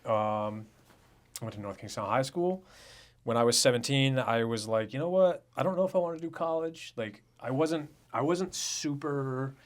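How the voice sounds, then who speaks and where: A man's voice: medium pitch, animated, normal speed. A young man from Rhode Island co-hosting a podcast, talking in a loose, joking, unfiltered way. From Rhode Island, USA. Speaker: podcast co-host. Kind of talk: casual chat.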